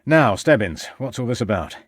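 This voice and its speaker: Man as a brisk, commanding Victorian inspector. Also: medium pitch, sing-song, breathy edge, no echo, studio quality. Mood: brisk, impatient. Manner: commanding, formal, questioning. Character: brisk, authoritative Victorian police inspector, male.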